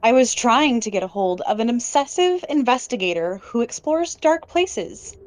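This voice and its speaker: A woman's voice: a little high, lively intonation, normal speed, natural voice. An actress playing a cautious woman cryptozoologist on a phone call, guarded and careful in how she talks. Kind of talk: audio drama acting.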